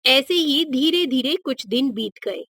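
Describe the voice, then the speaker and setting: Woman, children's storyteller, scripted narration, high pitch, slow pace, dry studio-like sound. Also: lively intonation, fairly bright tone, no room sound.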